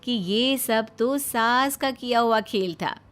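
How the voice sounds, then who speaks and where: A woman reading scripted narration for a cartoon story, with music clearly behind her. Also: fairly high pitch, slow pace, fairly bright tone.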